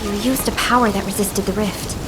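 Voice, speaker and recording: A woman playing a talkative, expressive young female investigator in a video game, with music behind her. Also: medium pitch, a little fast, close to an everyday voice. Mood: thoughtful. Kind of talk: animated video game voice acting. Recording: loud music behind the dialogue.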